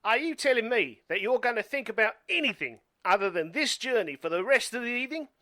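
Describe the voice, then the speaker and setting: Man as blunt conspiracy-minded survivalist, high voice, bright forceful tone, dry recording, radio drama. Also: very animated, a little fast, no echo, exaggerated voice. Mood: conspiratorial. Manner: blunt, assertive, know-it-all. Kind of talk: amateur radio play acting.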